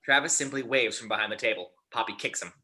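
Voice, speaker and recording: A man's voice: medium pitch, fast, pushed and bright. A man reading stage directions aloud as the narrator of a script.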